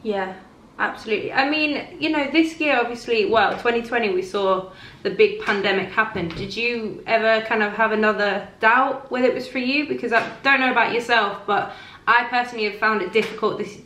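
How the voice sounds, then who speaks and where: This is a woman with a fairly high voice, hosting an interview in a casual, upbeat, chatty way. Her recording is clearly roomy and echoey. There is faint noise behind her. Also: fairly quick, bright, forceful tone. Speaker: host interviewing a guest.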